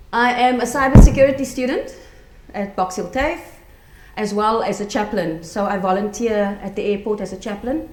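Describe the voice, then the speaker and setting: A woman's voice: mid-range voice, darkish tone. A woman in her forties with a South African accent, giving an earnest conference talk with frequent fillers and restarts. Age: 40s. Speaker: conference speaker. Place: conference room. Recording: echoey conference room.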